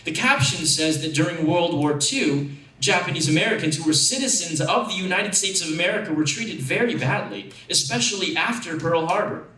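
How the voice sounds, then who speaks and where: Expressive male story reader, echoey room. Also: a little high, fairly quick. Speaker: actor reading a story. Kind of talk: dramatic first-person story narration. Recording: echoey room, distant mic.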